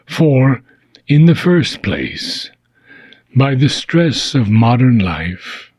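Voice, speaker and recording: A man with a husky voice reads philosophical prose aloud as an audiobook, solemn and deliberate, pausing between short phrases. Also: medium pitch, a little mellow, no echo, highs cut off. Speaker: reader of a philosophy book. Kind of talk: slow, measured audiobook reading of philosophical prose.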